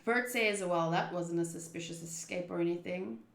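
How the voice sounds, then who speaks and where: A woman livestreaming a visual novel playthrough, switching between casual chat and expressive reading of the game text aloud. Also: lowish voice, moderate pace, gravelly, roomy sound. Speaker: streamer playing a visual novel.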